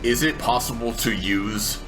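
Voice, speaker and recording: A man's voice: mid-range voice, fairly even intonation, unhurried. A man casually playing a tabletop roleplaying game, stating his character's moves. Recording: music clearly playing behind. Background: background music.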